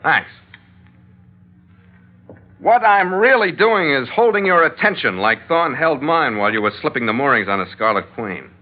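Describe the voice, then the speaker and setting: An actor playing a wry, tough sea captain who narrates and stars in an old-time radio adventure with sardonic, terse delivery. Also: male voice, a little high, very animated, normal speed. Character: wry, tough, hard-boiled male sea captain hero. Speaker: narrating lead of an adventure serial. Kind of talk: 1940s-style radio drama acting and narration. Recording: tinny vintage radio recording with music.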